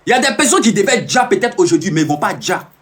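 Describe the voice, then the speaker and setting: A man's voice: sits high, sing-song, rapid-fire, a bit bright. A man giving an emphatic, repetitive, preaching monologue on a live stream, answering viewers' comments as he talks. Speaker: self-proclaimed peace ambassador streaming live.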